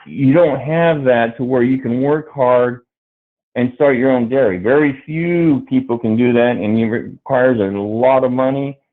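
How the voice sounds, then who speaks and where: Hesitant man on a tinny call. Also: mid-range voice. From Brazil. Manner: halting, many fillers and restarts. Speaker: dairy farmer panelist. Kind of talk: livestream panel talk. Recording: tinny phone-like video call audio.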